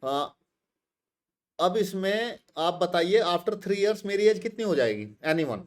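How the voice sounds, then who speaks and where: A man in his fifties teaches math slowly and patiently. His voice sits a little high for a man. He stops often to ask his students questions and repeats their answers back to them. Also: expressive. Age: 50s. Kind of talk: classroom lecture mixing Hindi and English.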